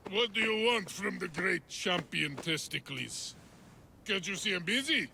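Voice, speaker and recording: A man voicing a boastful, childish, dim-witted muscle-bound champion for a game, with a gravelly, strained voice and a loud, whiny, bragging manner. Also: high voice, animated, measured pace, slight background noise, full character voice. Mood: annoyed, dismissive.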